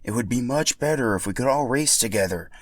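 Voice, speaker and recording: A man's voice: medium pitch, expressive, fairly quick, no echo, natural voice. A man voicing a glum dinosaur villager in a storybook tale, plaintive and sounding rehearsed. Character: glum island dinosaur villagers repeating one complaint.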